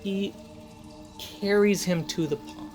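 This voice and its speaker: A man runs a tabletop fantasy game as its narrator. His voice sits a little high, and he takes his time, pausing for suspense. Music plays clearly behind him. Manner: suspenseful, measured, with dramatic pauses. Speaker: game master. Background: cinematic music score.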